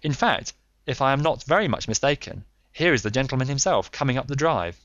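A man voicing a calm, stern Victorian detective who speaks in a measured way. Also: medium pitch, no echo, muffled recording, pitched above the performer's own voice, natural voice. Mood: assured.

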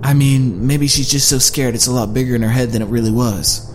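A man's voice: medium pitch, steady tone, fairly quick, close to an everyday voice. A man voicing a doubtful young male survivor who speaks casually. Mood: skeptical. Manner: skeptical, casual.

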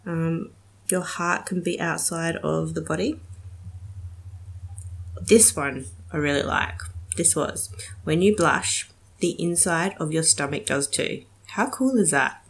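Young woman, podcast host, lowish voice, gravelly fry, a bit nasal from a cold, chatty with lots of ums. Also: slow pace, long pauses. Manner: chatty, giggly, many ums. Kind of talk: casual solo podcast chat.

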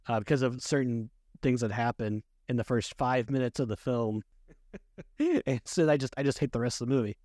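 A man hosting a casual movie review podcast, talking in a halting, repetitive way. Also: medium pitch, a little mellow, dry recording, clean studio sound. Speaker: podcast host.

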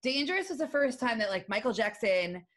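A woman's voice: a little high, very animated, a little fast, fairly bright tone. A woman in her thirties, a musician guest chatting casually on a podcast, talkative, laughing often and full of fillers. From western New York. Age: 30s.

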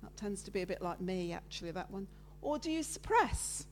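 A woman giving a lively, loud, chatty sermon with slides, talking with her congregation. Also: mid-range voice, brisk, slight background noise. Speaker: preacher giving a talk at church. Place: church meeting room with listeners present.

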